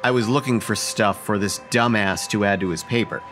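A man's voice: on the low side, fairly quick, fairly bright tone, faint music behind, natural voice. A young man acting a laid-back but defensive college prankster, speaking in a casual, slangy way in an audio drama. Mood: embarrassed, defensive. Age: young adult. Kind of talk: dramatic dialogue in old time radio style audio play.

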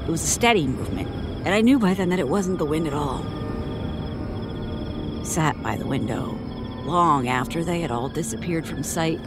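An actress playing a shaken woman who haltingly recounts a traumatic childhood ordeal in an audio drama monologue. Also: deep voice. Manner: halting, haunted storytelling. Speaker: interviewee.

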